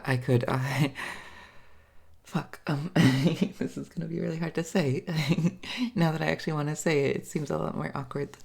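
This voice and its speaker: A woman's voice: very deep voice, a little mellow, husky, exaggerated voice. A woman acting a shy, smitten, tipsy date in an intimate roleplay audio, stammering and giggling nervously as she fumbles her words. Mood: nervous, hesitant.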